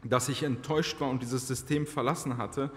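A man's voice: mid-range voice, steady tone. Earnest preacher man in echoing church. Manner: earnest, slows down to stress key phrases. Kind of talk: personal testimony sermon. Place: church sanctuary, large indoor hall. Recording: reverberant church hall.

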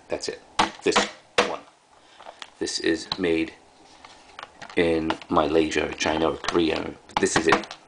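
A man casually showing items as a video host, speaking in halting, offhand short phrases. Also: deep voice. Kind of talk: casual product showcase. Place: indoors in a room at home. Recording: clearly noisy home recording.

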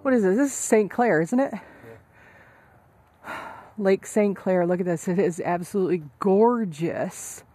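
A woman vlogger giving chatty, excited commentary to her viewers while out shopping at sales. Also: medium pitch, unhurried, long pauses, no room sound. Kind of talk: casual vlog commentary.

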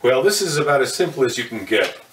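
A man's voice: medium pitch, very animated, fast, fairly bright tone, slight background noise. Older man demonstrating gear in a roomy space. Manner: folksy, with many uh fillers and self-corrections. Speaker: amateur astronomer demonstrating telescope mounts. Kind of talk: hands-on demonstration. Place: indoor room in front of a curtain. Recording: roomy, slightly distant sound.

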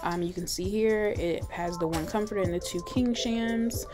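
A young woman vlogger talking casually over her video, relaxed and chatty with lots of fillers. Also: medium pitch, normal speed. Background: clear background music.

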